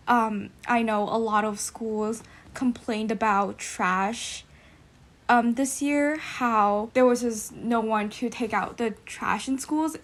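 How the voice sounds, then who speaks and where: A teenage young woman with an American accent, speaking as a student guest in a casual panel discussion, with measured, hesitant phrasing. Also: a little high.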